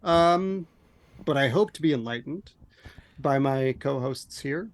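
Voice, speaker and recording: A man co-hosting a podcast in casual chat, speaking with a hoarse, somewhat monotone voice and a rambling, self-mocking way of talking. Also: medium pitch, unhurried. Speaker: podcast co-host.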